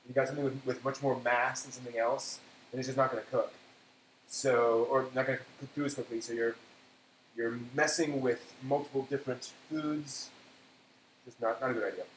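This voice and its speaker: Casual male cooking streamer, mid-pitched, halting and talking to himself, recorded in an echoey kitchen. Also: steady tone. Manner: halting, self-talking, trailing off. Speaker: host of a cooking live stream. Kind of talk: casual cooking chat, thinking aloud. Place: indoor kitchen. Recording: echoey room, background noise.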